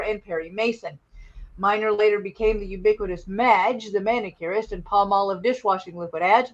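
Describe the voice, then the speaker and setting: A woman hosting a show of old radio programs, moving between casual chat and reading out program notes. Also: medium pitch. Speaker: host of an old-time radio show stream. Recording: dull, lo-fi sound.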